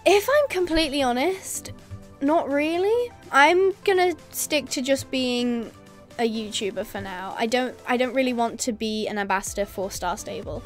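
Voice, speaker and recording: A young woman from England talks casually to her camera, laughing often and filling pauses with ums. Her voice sits high, with faint music playing softly behind her. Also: animated. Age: young adult. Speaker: YouTuber. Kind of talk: casual vlog reading viewer comments. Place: indoors in front of a green screen. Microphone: facing camera at close range.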